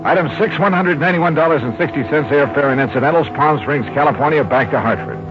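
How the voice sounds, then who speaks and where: An actor playing a shrewd, fearless American insurance investigator in a 1950s radio drama, speaking in a clipped, wry, assured way. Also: male voice, fairly high pitch, fast talker, music in the background, lightly acted. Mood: dry, wry. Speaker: lead actor and narrator. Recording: muffled, noisy 1950s radio recording.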